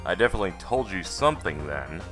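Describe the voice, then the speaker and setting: A man's voice: low-pitched, animated, fast, over music. A man voicing a sardonic, probing amnesiac dead man in a detective game. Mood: accusing. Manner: sardonic, questioning, pressing. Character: wry amnesiac dead man, a needling amateur investigator.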